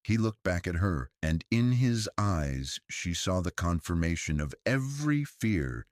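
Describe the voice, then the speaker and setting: A man narrating a science fiction thriller audiobook in a measured, deliberate storytelling style. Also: low-pitched, sing-song, dry recording.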